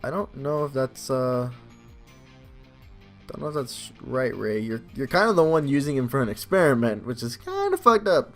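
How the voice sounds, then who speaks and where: A man's voice: medium pitch, huge pitch swings, moderate pace, a bit bright. A man doing casual let's play commentary, reading game narration aloud and adding informal, joking asides. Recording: faint game music behind.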